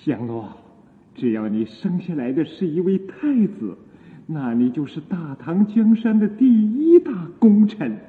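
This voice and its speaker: An actor playing a lecherous, imperious emperor in a theatrical radio drama, with pompous, drawn-out courtly speech and a coaxing, self-satisfied air. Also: male voice, fairly high pitch, sing-song. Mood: excited, pleading. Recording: muffled phone-like audio, long echoing reverb.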